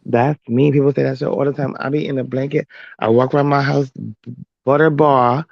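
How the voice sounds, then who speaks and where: A man's voice: mid-range voice, level tone, a little fast, vocal fry, dry recording. A man, talking bluntly and casually, swearing as he fiddles with his setup, heard through a phone. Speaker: live stream host. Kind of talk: casual chat. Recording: muffled lo-fi phone mic.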